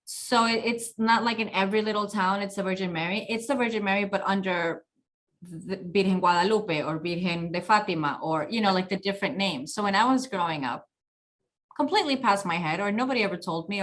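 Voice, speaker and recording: A woman talk show host from Colombia, speaking at a medium pitch. She talks casually and reflectively, drawing on her own experiences. She often circles back and restarts her sentences as she thinks aloud. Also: brisk, a bit bright. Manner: reflective, personal anecdotes, frequent restarts. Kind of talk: casual conversational interview.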